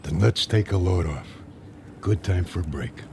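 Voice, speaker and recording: An older actor playing a talkative former ballplayer who rambles through an old story, in a radio drama. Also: male voice, deep voice, moderate pace, a little mellow, slight background noise. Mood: reminiscing.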